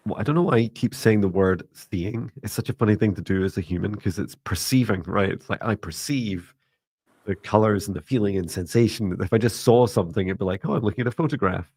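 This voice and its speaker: A man with a deep, steady voice that stays in one even tone, giving a slow, meditative psychic reading on a livestream with many fillers. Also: husky, no room sound. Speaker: psychic mentor livestream host.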